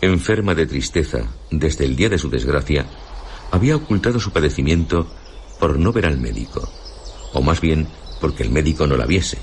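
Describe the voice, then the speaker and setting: A man's voice: rumbling low, expressive, moderate pace, darkish tone, slight background noise. An actor from a radio drama playing a grave older male storyteller with a Spain accent, telling a tragic village tale in a solemn, literary manner. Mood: solemn, sorrowful.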